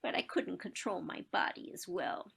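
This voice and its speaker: A woman acting a wistful, romantic elderly woman in a stage play, with dramatic, deliberate delivery. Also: fairly low pitch, vocal fry, fairly bright tone, husky, in a whisper, full character voice. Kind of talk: one-act stage play performed on a video call.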